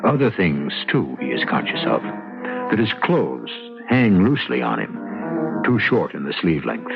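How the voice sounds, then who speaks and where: Deep, dramatic male radio mystery host. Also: sing-song, a little slow, creaky, over music. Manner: dramatic, portentous, rhetorical. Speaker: host and narrator. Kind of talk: theatrical scripted mystery radio narration. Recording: muffled old radio broadcast.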